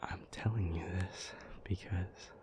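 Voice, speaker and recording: Male actor as grave demon lover for audio roleplay: deep, slow, half-whispered, troubled but powerful. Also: fairly even intonation. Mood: uneasy, confiding. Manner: slow, deliberate, halting phrases. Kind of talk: intimate audio roleplay acting.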